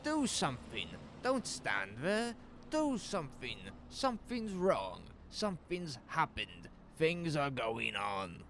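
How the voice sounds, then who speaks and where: A man's voice: a little high, huge pitch swings, slow pace, a bit bright, heavily acted. A man playing a panicked, agitated middle-aged shopkeeper in an audio horror drama, urgent and pleading. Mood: frantic, alarmed. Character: panicked, frantic middle-aged male small-town shopkeeper, desperate to be heard. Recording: faint noise under ambient sound effects.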